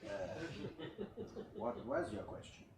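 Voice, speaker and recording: A man acting as a storyteller in a play reading, telling a mock-epic fable with dramatic pauses and wry asides. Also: close to an everyday voice. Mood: flustered. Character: lively puppet-show storyteller spinning a mock-epic creation fable. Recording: roomy, distant mic, faint noise.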